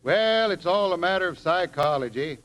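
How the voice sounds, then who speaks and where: An actor playing an easygoing, modest, folksy fellow with a homely manner in an old radio comedy. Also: male voice, sits high, expressive, moderate pace, fairly bright tone. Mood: bashful, amiable. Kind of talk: 1930s radio comedy acting. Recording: faint hiss, vintage 1930s radio recording.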